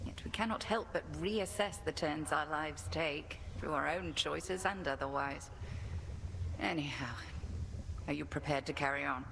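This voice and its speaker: Low, creaky, solemn witch mentor. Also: fairly bright tone, a little hiss or hum, heavily acted, poor audio. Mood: grave, guarded. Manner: grave, archaic, deliberate phrasing. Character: stern, solemn witch mentor, proud yet haunted. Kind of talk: video game voice acting.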